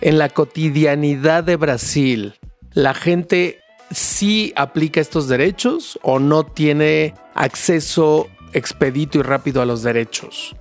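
Upbeat talk show host. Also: male voice, on the high side, faint music behind. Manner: quick, upbeat, joking. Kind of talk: hosting a talk show interview.

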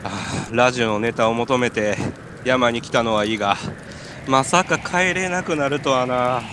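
A man's voice: mid-range voice, moderate pace, lightly acted. A man with a Kansai accent playing a hungry, freezing, silly stranded climber in an amateur comic radio drama, with absurd earnestness. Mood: miserable. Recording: noisy amateur recording.

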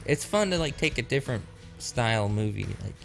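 A man's voice: mid-range voice, a little fast, gravelly, faint music behind. Easygoing young man raving about a movie. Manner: relaxed, enthusiastic, says "like" often. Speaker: podcast co-host. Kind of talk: casual film review chat.

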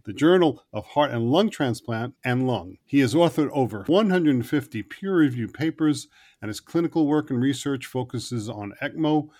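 A man's voice: mid-range voice, darkish tone. A man hosting an interview podcast, speaking in a conversational but prepared way. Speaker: podcast host interviewing a guest.

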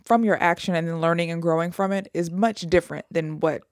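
A podcast co-host talking in a quick, emphatic, opinionated way during a casual chat. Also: medium pitch, fairly even intonation, no echo, studio quality.

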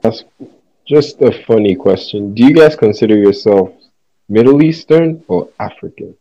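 Guy w a really deep mellow voice asking questions, casual, lots of you knows. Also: animated, normal speed, lo-fi sound. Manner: casual, questioning, with many fillers. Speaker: interviewer.

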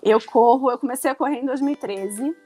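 A woman in her forties from Rio de Janeiro with a Carioca accent, a guest on a casual podcast, chatty and quick to laugh. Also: high-pitched, dark tone, faint music behind. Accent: Carioca Brazilian Portuguese. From Rio de Janeiro, Brazil. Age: 40s. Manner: chatty, laughing easily, many restarts.

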